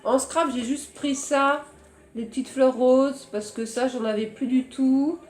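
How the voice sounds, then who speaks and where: A hoarse woman chatting casually about her shopping haul and crafts, often pausing to search for words. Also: sits high, fairly even intonation, darkish tone, reverberant, soft background music. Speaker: crafting vlogger.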